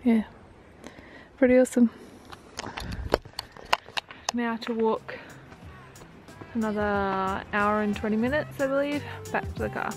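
A woman's voice: fairly high pitch, slow pace, pauses often, music in the background. Upbeat young woman vlogging outdoors. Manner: chatty, upbeat, breathless from hiking. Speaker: travel vlogger. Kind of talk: casual travel vlog. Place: outdoors on a coastal bush trail. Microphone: handheld camera at arm's length.

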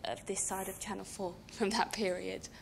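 A woman in the audience making a comment at a panel discussion, in an even tone. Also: medium pitch, moderate pace, gravelly, noisy background. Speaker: audience member. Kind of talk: comment from the floor.

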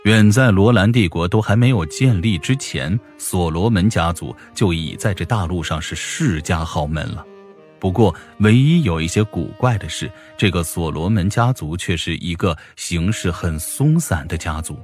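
A man with a deep voice narrates a fantasy novel from a script. He works as an audiobook storyteller, and faint music plays beneath the narration. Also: expressive, normal speed.

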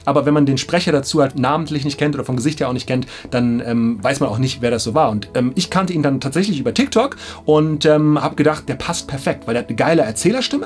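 A man's voice: medium pitch, expressive, quick pace, few pauses. A man in his thirties explaining things casually and enthusiastically to camera in a small studio, in colloquial language. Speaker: audiobook production presenter. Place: small indoor audio studio.

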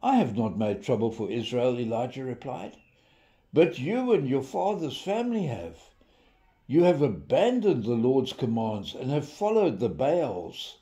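Slow, mellow older man. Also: medium pitch, very animated. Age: 70s. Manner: measured, deliberate storyteller with dramatic emphasis. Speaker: devotional preacher. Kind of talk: informal sermon with storytelling and scripture reading.

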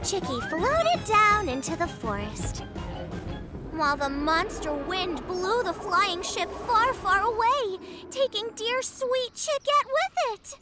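A performer voicing an excitable, childlike young cartoon animal narrator, chatty and playful with theatrical, slangy asides. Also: very high voice, slow pace, heavily acted. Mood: dramatic, playful. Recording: music behind the voice.

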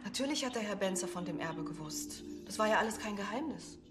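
An actress playing a polite, strained widow answering police questions. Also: female voice, mid-range voice, animated, fairly quick, music in the background, lightly acted. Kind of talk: TV crime drama acting.

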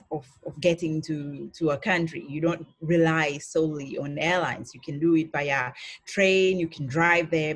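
A middle-aged woman from the Seychelles with a husky voice, speaking in a hesitant, measured way as a panellist on a video call. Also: sits low. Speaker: tourism board chief executive, panellist. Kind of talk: online panel discussion.

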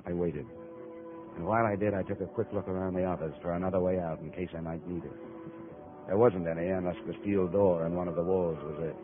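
Deep-voiced hard-boiled radio detective played by a man. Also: fast talker, music in the background, natural voice. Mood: wry, tense. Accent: American. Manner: terse, hard-boiled, deadpan. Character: tough, wry American private investigator narrating his case. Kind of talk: 1950s radio detective drama acting. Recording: muffled old 1950 radio broadcast recording.

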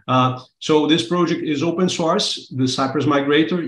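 A man from Brazil whose first language is Portuguese, speaking English on a video call as he walks through a testing project in a relaxed, explanatory way. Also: medium pitch, echoey room, rough recording. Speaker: workshop presenter. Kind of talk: live online workshop walkthrough. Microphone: webcam video call.

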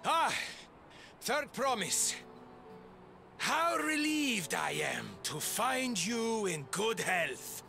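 An actor playing a sly, fawning male schemer in a video game, with drawn-out, oily courtesy. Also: very high voice, very animated, vocal fry, music in the background, full character voice. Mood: feigned relief. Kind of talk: scripted video game cutscene acting.

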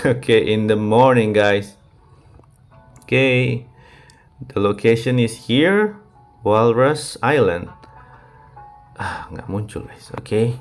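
A man with an Indonesian accent gives casual gameplay commentary, chatting informally to his viewers. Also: lowish voice. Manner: informal, addresses viewers as "guys". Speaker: gaming video creator. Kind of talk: casual gameplay commentary, mixing two languages. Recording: voice over background game music. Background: music.

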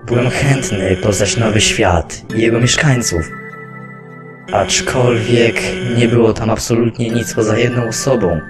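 A man voicing an excitable, friendly skeleton artist who tells a long tale expressively in an animated fan dub. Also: medium pitch, measured pace, close to an everyday voice. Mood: earnest storytelling. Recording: background music under the voice.

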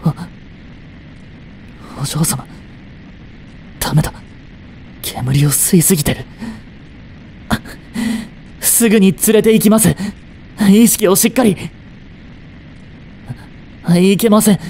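A man's voice: high voice, lively intonation, slow, pauses often, a little hiss or hum. A man playing a devoted young butler. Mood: urgent. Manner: soft, gentle and intimate in the bedside scene; shouting and frantic while searching the fire. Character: devoted young male butler, protective and tender. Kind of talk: romantic voice drama acting.

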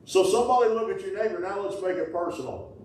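A middle-aged man, preaching a sermon in a folksy call-and-response style, with sudden loud bursts. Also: high-pitched, quick pace, slight background noise. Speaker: pastor. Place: small wooden-walled church sanctuary. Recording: echoey church from a distance.

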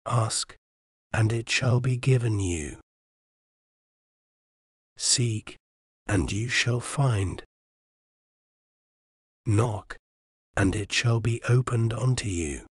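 A man reading scripture aloud in a measured, soothing bedtime manner, with long pauses between phrases. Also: medium pitch, no echo, clean studio sound. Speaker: scripture reader. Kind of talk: slow bedtime Bible reading.

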